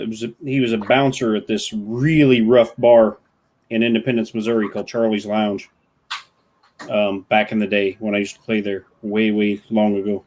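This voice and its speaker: Man telling drawn-out stories in casual chat. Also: mid-range voice, darkish tone, low-quality recording. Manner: storytelling, drawn-out anecdotes with restarts.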